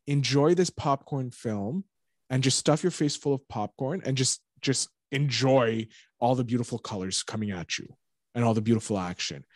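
A man's voice: medium pitch, no room sound, studio quality. A Canadian man chatting as a podcast guest, rambling and enthusiastic, joking as he talks. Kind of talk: casual podcast chat.

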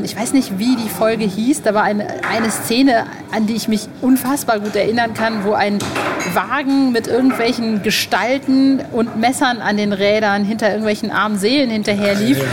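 A woman's voice: fairly high pitch, noisy background. A woman hosting an interview in casual, colloquial, enthusiastic chat, gushing as she talks. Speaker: podcast host and interviewer.

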